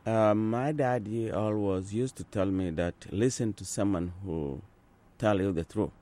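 A man in his sixties from Sudan, an Azande speaker talking in English, answering interview questions in an earnest, unhurried way with frequent pauses and restarts. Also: lowish voice, mellow. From South Sudan. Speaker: interviewee, translator. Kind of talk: conversational interview.

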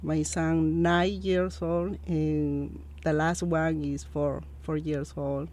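A woman from Peru in her thirties with a Peruvian Spanish accent, a guest in an interview, speaking halting English with many fillers. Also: deep voice, little pitch movement, a little mellow. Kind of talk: interview conversation.

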